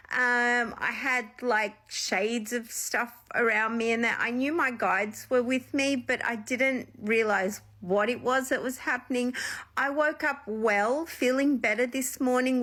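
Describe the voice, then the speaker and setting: A woman's voice: high-pitched, sharp tone. A woman, a psychic medium, chatting casually and rambling through a personal story on her vlog, with a slight sniffle after being ill. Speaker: psychic medium and channel host.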